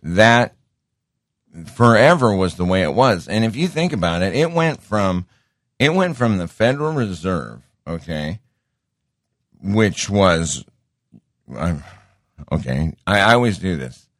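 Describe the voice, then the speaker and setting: A middle-aged man with a deep voice hosting a show in a casual monologue, rambling and interrupting himself, often repeating words. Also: sing-song, unhurried, pauses often, no room sound, studio quality. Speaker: show host.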